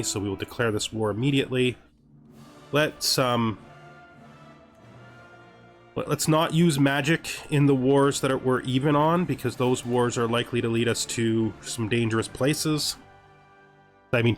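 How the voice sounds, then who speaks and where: A man giving casual gameplay commentary, thinking aloud and often muttering questions and then answering them himself. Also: medium pitch. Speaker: gamer narrating a let's play.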